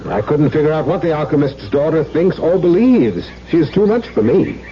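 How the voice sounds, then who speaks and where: An actor as a wry, animated gentleman baron. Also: a little high, normal speed, natural voice. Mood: wry, skeptical. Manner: formal, teasing, arch. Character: urbane, wry baron, skeptical gentleman cousin. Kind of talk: 1970s radio drama acting. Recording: muffled 1970s radio broadcast with noise.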